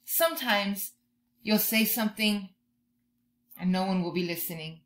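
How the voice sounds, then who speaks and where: A woman in her thirties doing an expressive children's read-aloud, drawing out key words like a teacher talking to young listeners. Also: mid-range voice, a little slow. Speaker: teacher reading a picture book to children. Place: bedroom or room at home, indoors.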